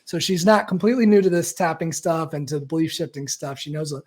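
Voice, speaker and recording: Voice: mid-range voice, fast talker, a little mellow. A coach hosts a live online session and speaks casually to the viewers and a guest. The speech rambles, circles back on itself, and leans on fillers. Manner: chatty and rambling, with many fillers and self-corrections. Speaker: coach and host. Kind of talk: live-stream coaching talk.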